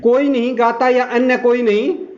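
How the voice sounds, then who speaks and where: A man's voice: extremely high pitch, flat delivery, moderate pace. A man teaching a grammar lesson, dictating examples word by word and asking and answering his own questions. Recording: dull lo-fi sound.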